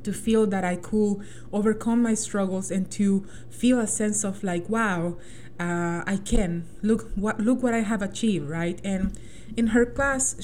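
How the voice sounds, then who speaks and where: A woman's voice: medium pitch, a little mellow, slight background noise. A middle-aged woman from El Salvador hosting a community radio show, sharing a personal story in an earnest, reflective way. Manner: earnest, reflective, with many restarts and "right?" tags. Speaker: radio host.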